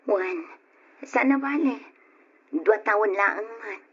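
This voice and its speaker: A woman's voice: medium pitch, slow, husky. A woman playing a burdened but determined, practical wife in an old radio drama, speaking earnestly and resolutely. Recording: dull, lo-fi old radio recording.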